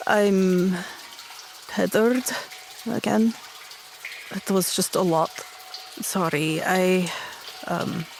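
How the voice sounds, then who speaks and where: A woman's voice: fairly low pitch, very slow, gravelly, long pauses, a little hiss or hum, full character voice. A woman voicing a weary, grieving, guarded female adventurer in a tabletop fantasy game. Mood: overwhelmed, sad.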